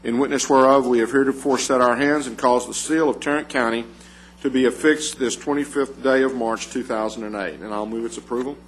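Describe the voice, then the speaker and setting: A man presides over a public government meeting, calling votes and reading a formal proclamation at a measured pace. His pitch sits mid-range. A faint electrical hum runs beneath the recording. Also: fairly even intonation, poor audio. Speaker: county judge chairing the court.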